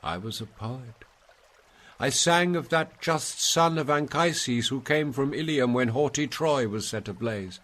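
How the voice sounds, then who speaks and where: A man's voice: medium pitch, measured pace, fairly bright tone, voice pushed up, natural voice. A man voicing the grave ghost of an ancient Roman poet, guiding with solemn, oratorical delivery in a story reading. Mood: solemn, proud. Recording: noisy, hissy background.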